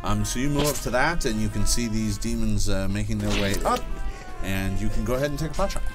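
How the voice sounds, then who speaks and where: A man's voice: fairly low pitch, expressive, brisk. A man working as game master, running a tabletop roleplaying game off the cuff in a playful, theatrical way. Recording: music playing behind the voice. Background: loud music.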